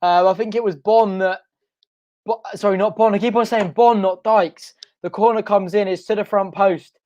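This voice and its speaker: A young man hosting a live football watch-along from home, excitable and shouting, his voice going hoarse. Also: high voice, moderate pace, muffled recording. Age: young adult. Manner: excitable, shouting and chanting at goals. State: losing his voice. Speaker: fan channel host. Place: home front room.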